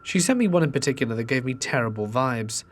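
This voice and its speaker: A man tells ghost stories in a steady, measured storytelling voice at an ordinary middle pitch. A faint hiss sits under the narration. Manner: steady storytelling delivery, reading submitted accounts.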